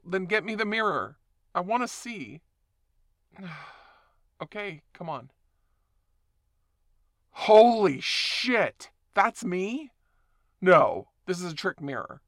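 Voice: medium pitch, fairly bright tone, no echo, studio quality, heavily acted. Someone playing a stammering, bewildered new werewolf. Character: confused person waking up as a werewolf. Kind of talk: audio roleplay monologue.

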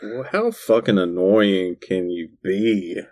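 A man's voice: low voice, slow, darkish tone. A man co-hosting a casual podcast, reacting bluntly and swearing freely. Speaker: podcast co-host. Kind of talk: casual chat.

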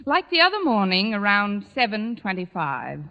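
An actress playing a witty, lovelorn high school teacher in an old radio sitcom, dry and sardonic with theatrical timing. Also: female voice, sing-song, normal speed, muffled recording. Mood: wry, confiding. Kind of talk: 1940s-50s radio sitcom acting. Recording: old radio broadcast, echoey hall, heavy noise.

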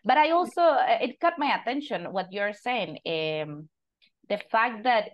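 A woman's voice: on the high side, expressive, a little slow, muffled recording. Spanish-accented female host, encouraging, interview tone. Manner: encouraging, asks questions. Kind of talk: casual interview.

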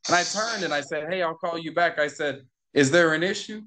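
A man speaking in a slightly high voice as an interview guest. He tells his story in a conversational, animated way, voicing each side of the exchange and hammering points home through repetition. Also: a little fast. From United States. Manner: animated, acts out quoted exchanges, repeats himself for emphasis.

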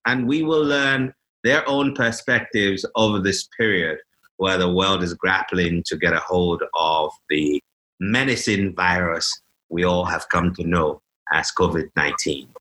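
A man from Ghana hosting a podcast, speaking in a measured, formal way. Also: deep voice, pushed and bright, low-quality recording. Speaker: podcast host. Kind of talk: scripted podcast introduction.

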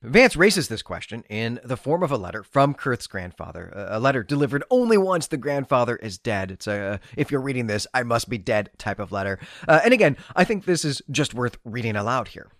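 A male podcast host talks through books in an analytical, bookish way. His mid-pitched voice moves around a lot as he stresses key phrases, and the sound is dry and close, with very little room in it. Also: very animated, brisk, few pauses, fairly bright tone, dry recording. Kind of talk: literary podcast commentary on science fiction.

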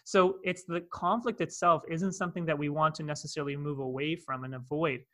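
A man's voice: fairly high pitch, fairly even intonation, fairly quick, fairly bright tone. A man with a Canadian accent, a coach telling a personal story in a podcast conversation, earnest, with many asides. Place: in Cape Town, South Africa.

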